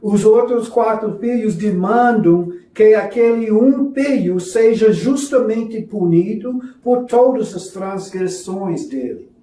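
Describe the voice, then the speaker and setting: A man with a non-native, foreign accent teaches a religious lesson and tells a story, speaking haltingly and apologetically. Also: high voice, expressive, mellow. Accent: foreign, non-native Portuguese. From foreign to Brazil, a self-described gringo. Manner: halting, hesitant in Portuguese, apologetic. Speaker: religious teacher presenting a course. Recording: echoey room, distant from mic.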